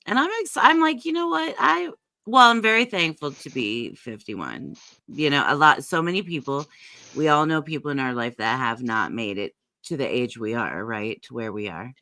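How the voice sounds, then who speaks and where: A woman's voice: extremely low pitch, big pitch swings, unhurried, pushed and bright, no echo. A woman in her fifties chatting casually, agreeable and reflective. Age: 50s. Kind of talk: casual chat.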